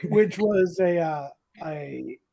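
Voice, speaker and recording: Husky, theatrical podcast host with swooping pitch. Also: expressive, slow, dark tone. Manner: theatrical, playfully mock-dramatic. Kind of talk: casual comedic chat about old radio drama.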